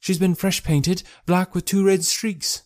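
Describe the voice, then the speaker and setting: A man's voice: high voice, level tone, measured pace, a little mellow, pitched above the performer's own voice. A man voicing an anxious, talkative working-class English wife in an audiobook, folksy and deferential. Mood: chatty, worried. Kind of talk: audiobook character voice.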